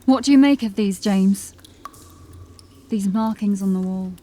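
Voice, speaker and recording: An actress playing a sober, anxious corporal of a fantasy city watch in an audio drama, plain-spoken and questioning. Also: female voice, mid-range voice, unhurried, warm tone, close to an everyday voice. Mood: curious.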